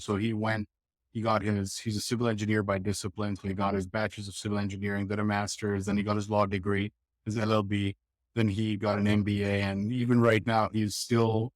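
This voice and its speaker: A man in his thirties from Pakistan, a podcast guest telling his life story in a reflective, steady way with frequent restarts. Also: lowish voice, no room sound. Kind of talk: casual interview storytelling.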